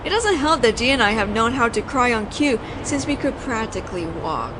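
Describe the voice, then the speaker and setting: A woman's voice: a little high, sing-song, moderate pace. A woman playing a flirtatious, teasing mafia boss in an audio roleplay, talking in a playful, conversational storytelling way. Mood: amused reminiscing. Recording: noisy outdoor city ambience, traffic.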